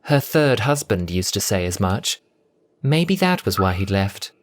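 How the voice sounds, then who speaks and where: Slow, expressive storybook narrator. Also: low-pitched. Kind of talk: dramatic scripted audiobook narration.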